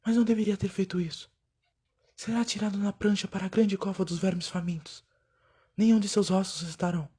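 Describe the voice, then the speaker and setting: Stern village leader and grandmother played by a woman, dark husky voice, defiant and curt, for audio drama. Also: medium pitch, steady tone, fairly quick, lightly acted. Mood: menacing.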